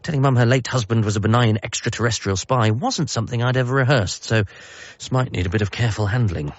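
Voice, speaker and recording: An actor playing an eager, apologetic grown-up son in a radio comedy drama, in narration and dialogue. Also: male voice, mid-range voice, quick pace, dry recording, close to an everyday voice. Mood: reflective, wondering. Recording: slightly muffled, faint music behind.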